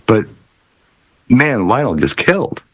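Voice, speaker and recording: A middle-aged man hosts a casual music chat in a fairly low voice. His pitch moves around a lot as he talks, and the sound is dry and close. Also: sing-song, takes it slowly, slightly rough, no room sound. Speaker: host of a music talk show. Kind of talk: casual panel chat and trivia.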